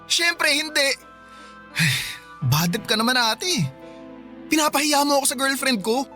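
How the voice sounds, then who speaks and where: A man's voice: very high voice, expressive, unhurried, pushed and bright, soft background music, exaggerated voice. A man playing a sulky young man who dropped out of college, whiny and defensive with his older sister, in a radio drama. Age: young adult.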